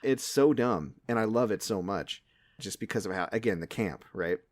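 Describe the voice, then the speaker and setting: A man's voice: on the low side, moderate pace, no echo. A man in his late thirties chatting casually about movies as a podcast co-host. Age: late 30s.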